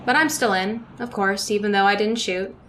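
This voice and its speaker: A woman playing a sad, resigned young woman who speaks calmly, in old-time radio drama style. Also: medium pitch, moderate pace, slight background noise, close to an everyday voice. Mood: bitter calm. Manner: calm, resigned.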